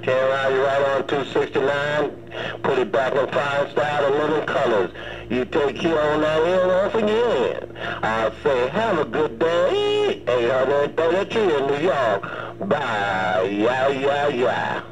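A man's voice: medium pitch, lively intonation, a little slow, low-quality recording. A man giving folksy call-sign patter in a casual CB radio chat. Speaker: CB radio operator. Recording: old CB radio transmission.

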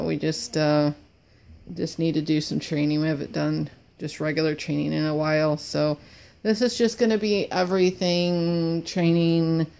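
A woman's voice: low-pitched, creaky, darkish tone, clear, resonant tone. A woman who sniffles as she talks, chatting apologetically and rambling while training her service dog at home. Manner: apologetic, rambling, repeats words. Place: cluttered room at home with wood floor.

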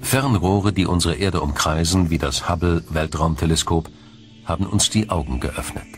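A man reading scripted documentary narration in a measured, explanatory manner. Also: low-pitched, soft background music.

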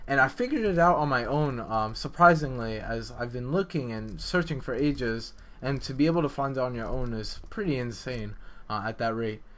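A man hosting a game development video, talking in a casual, informal and halting way. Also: mid-range voice, expressive, a bit bright. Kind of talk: casual gameplay commentary, development vlog.

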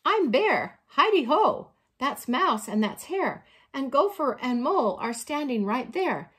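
A curious, friendly bear from a children's storybook, acted out by a woman. The voice is loud and emphatic, pitched fairly high and very animated in its rise and fall. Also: a little slow, husky, heavily acted. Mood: cheerful, welcoming. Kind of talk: children's storybook read-aloud.

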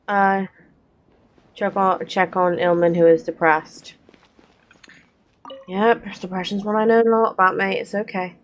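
A woman's voice: mid-range voice, little pitch movement, a little slow, long pauses, a little hiss or hum. A young woman doing casual let's-play commentary as a game streamer, close to a desk mic. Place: bedroom, indoors. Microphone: desk condenser mic close up. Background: faint game music.

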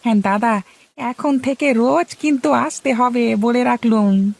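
A woman's voice: a little high, measured pace, gravelly, darkish tone. A woman voicing Ramu, an eager, servile young male shop boy in a cartoon who calls out to customers in a sing-song patter.

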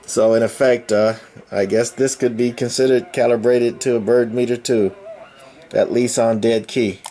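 Male hobbyist presenter, medium pitch, casual and unscripted, halting matter-of-fact explanations, handheld camera sound. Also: little pitch movement, warm tone. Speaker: hobbyist presenter demonstrating radio gear. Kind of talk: casual unscripted product demonstration. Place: indoors at a home radio bench. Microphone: handheld camera mic, speaker behind camera.

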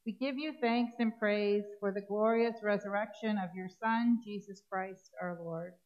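A middle-aged woman priest reads the liturgy in a slow, solemn and measured voice. Her pitch sits at an ordinary middle height, and the sound is dull and lo-fi, as on a church livestream. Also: fairly even intonation, darkish tone. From Canada. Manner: measured, solemn intoning of set prayers. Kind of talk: liturgical reading. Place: church sanctuary with congregation.

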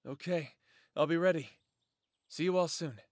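A man's voice: on the high side, normal speed, no echo, studio quality, close to an everyday voice. A man playing an earnest lone male werewolf who longs for family, speaking sincerely in an audio drama. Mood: light, relieved.

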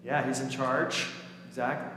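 A male pastor speaks to his congregation in an informal, joking manner at a medium pitch. His voice is a little raspy. It rings with heavy echo and long reverb through a church, under loud background noise. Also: level tone, moderate pace. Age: 30s or 40s. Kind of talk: sermon and children's message in church. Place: inside a church during a service. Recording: echoey church hall, noisy, long reverb.

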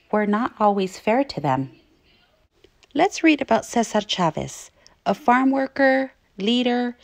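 A woman teacher reading aloud to young children, phrasing things clearly and putting questions to her listeners. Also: on the low side, no room sound. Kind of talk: children's read-aloud narration.

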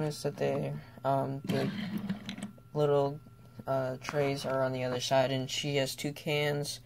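A young student giving a casual, unscripted toy car review in a hesitant, halting way with trailing thoughts. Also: mid-range voice, vocal fry, background noise.

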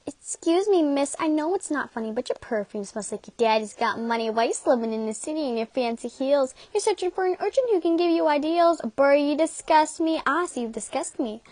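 A woman's voice: sits high, lively intonation, fairly quick, few pauses, darkish tone, dry recording. A woman voicing brash, theatrical comic characters with exaggerated emphasis in an amateur fan dub. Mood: sassy.

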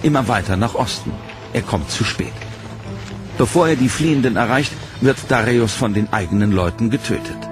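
A man's voice: mid-range voice, normal speed. Man narrating a documentary over music. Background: dramatic music.

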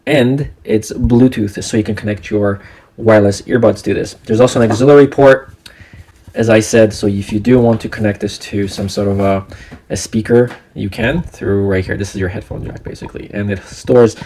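A man's voice: lowish voice, fairly even intonation, normal speed, dark tone. A man giving a casual product review in an unboxing video. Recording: clear background noise with clicks.

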